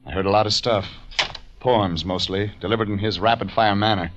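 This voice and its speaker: An actor playing a tough, wry private detective in clipped 1940s radio drama style. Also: male voice, lowish voice, steady tone, brisk, a bit bright, slight background noise, lightly acted. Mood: terse, suspenseful. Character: tough, wry male private detective narrating his case. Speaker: actor playing the lead and narrator. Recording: dull old radio recording with music.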